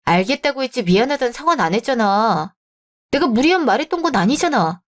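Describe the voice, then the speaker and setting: A woman's voice: a little high, animated, a little fast, natural voice. A woman voicing a stubborn, defensive young man who brushes off his fiancée's complaints in a radio drama. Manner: defensive, dismissive.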